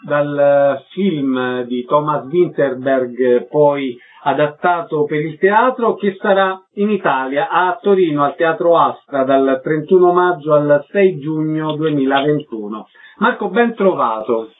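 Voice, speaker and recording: A man's voice: on the high side, takes it slowly, hardly any pauses. A man hosting a radio interview, introducing his guest and putting questions to him. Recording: narrow phone-like sound, faint noise.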